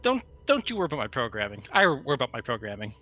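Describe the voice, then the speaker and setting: Male gamer, sharp forceful voice, animated intonation, teasing mock-callous banter. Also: mid-range voice, very fast, lo-fi sound. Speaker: tabletop game player. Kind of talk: casual banter, partly in character.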